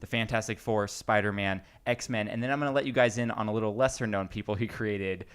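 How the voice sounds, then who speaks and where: A man's voice: lowish voice, fairly even intonation, fairly quick, clean tone. A man hosting a podcast in a casual, chatty and jokey way with slangy wording. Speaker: podcast host. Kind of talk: casual chat.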